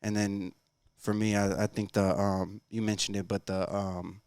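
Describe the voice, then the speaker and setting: A man's voice: lowish voice, level tone, unhurried, darkish tone, smooth, no room sound, studio quality. A man co-hosting a podcast, laid-back and rambling as he chats. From lives in Colorado. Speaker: podcast co-host and audio person. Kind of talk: casual podcast chat. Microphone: podcast studio mic.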